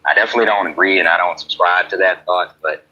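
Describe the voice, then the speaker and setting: A man hosting a talk show, giving a measured, hedging opinion with ums. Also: low-pitched, sharp tone, husky. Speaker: host. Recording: dull lo-fi audio.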